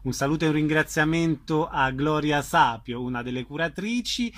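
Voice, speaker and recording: A man's voice: a little high, moderate pace, a bit bright. A man hosting an arts programme on the radio, introducing and interviewing guests.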